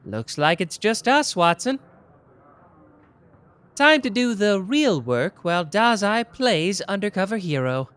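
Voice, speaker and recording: Casting a performer for a boastful, playful young male sleuth, very animated delivery, soft ASMR roleplay. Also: medium pitch, unhurried, clear, resonant tone. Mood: smug. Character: smug young male genius detective, candy-loving.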